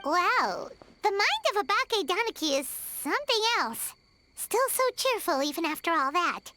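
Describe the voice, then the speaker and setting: A woman voicing a tiny, excitable childlike fairy companion in a video game, with a squeaky voice. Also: normal speed, background noise. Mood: amazed. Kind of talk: scripted video game voice acting.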